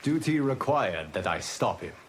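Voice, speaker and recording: An actor playing a calm, solemn fire god and guardian mentor in a fighting game, speaking in formal, measured phrases. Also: male voice, fairly low pitch, creaky, heavy background noise. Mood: grave, measured. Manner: formal, measured, grave wording. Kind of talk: scripted fighting game dialogue.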